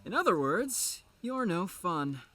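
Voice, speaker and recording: Man as cocky young slacker, slow bright drawl. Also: high voice, very animated, full character voice. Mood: teasing. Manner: drawling, teasing. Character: mischievous, cocky young male student, sleepy delinquent.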